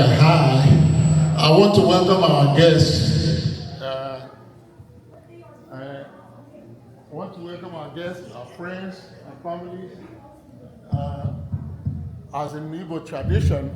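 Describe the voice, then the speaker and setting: Man, community leader, formal and deliberate, slow, crowd in background, echoey distant hall. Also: on the high side. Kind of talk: ceremonial welcome speech.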